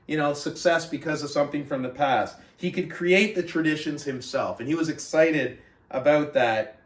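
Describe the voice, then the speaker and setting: A man speaking as an earnest, conversational motivational book club host, moving between talking to listeners and reading aloud. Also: fairly high pitch. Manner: earnest, conversational, rhetorical check-ins like "right?". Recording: roomy echo, distant mic.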